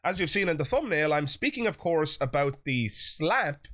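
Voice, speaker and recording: A man's voice: a little high, sing-song, moderate pace, sharp tone. A man hosting his own channel, talking straight to viewers in a chatty commentary style while sounding chesty and sniffly from a chest infection. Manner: chatty, direct address to viewers. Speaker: YouTube channel host. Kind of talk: opinion commentary monologue.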